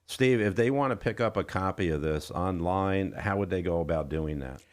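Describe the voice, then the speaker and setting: A man with a deep, low voice hosts a radio interview in a studio. He speaks emphatically and with enthusiasm, turning often to address his listeners as folks. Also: normal speed. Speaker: radio host.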